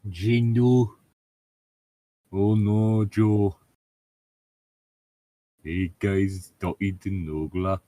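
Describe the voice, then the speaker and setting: Casting: low-pitched man, dry close sound, co-host who swears and jokes incredulously through a mocking read-along. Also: steady tone, very slow, long pauses, dark tone, no echo. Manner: profane, incredulous, joking. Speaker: host of a fanfiction reading show. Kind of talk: casual dramatic reading with mocking commentary.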